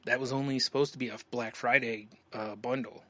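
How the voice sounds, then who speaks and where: A man's voice: medium pitch, fairly even intonation, a little fast, a bit bright, dry recording. A man co-hosting a podcast in casual, joking chat with some swearing and frequent chuckles. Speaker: podcast co-host.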